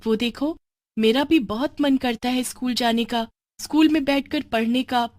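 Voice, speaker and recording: A woman voicing a young half-goat witch girl, an animated, eager daughter in a storybook cartoon. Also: on the high side, moderate pace, dry recording, clean studio sound, voice pushed up, lightly acted. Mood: pleading. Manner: excitable, pleading, turning gleefully menacing.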